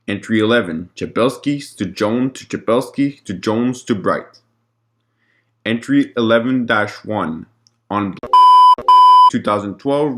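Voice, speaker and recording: A man narrating a scripted document log in a formal, report-like way, with an occasional stumble over the words. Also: medium pitch.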